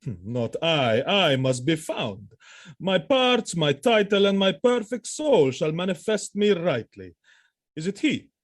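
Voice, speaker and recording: A man's voice: high voice, very animated, unhurried, full character voice. A man playing a proud, commanding general with stately delivery in a Shakespearean audio drama. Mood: proud, assured. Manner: stately, declamatory theatrical delivery. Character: proud, self-assured, commanding male general.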